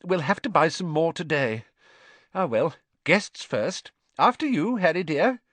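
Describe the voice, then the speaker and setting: Man voicing a fussing motherly witch, high lilting voice, fretful, coaxing, dry close sound. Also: animated, measured pace, a bit bright, no echo.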